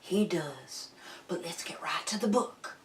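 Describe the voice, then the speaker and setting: An older woman hosting a children's story time, reading aloud in an expressive, playful, folksy way with drawn-out words. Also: lowish voice, slight background noise. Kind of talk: animated children's storybook narration.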